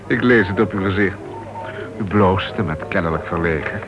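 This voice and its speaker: Actor as a low-voiced, guarded ex-convict. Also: male voice, moderate pace, close to an everyday voice. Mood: probing. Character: smooth, guarded male ex-convict, new club member. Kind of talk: radio play acting in Dutch. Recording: dull old radio recording, music behind.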